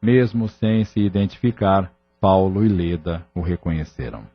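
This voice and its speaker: Male narrator, Brazilian accent, low breathy voice, dramatic scripted storytelling, slightly muffled. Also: normal speed.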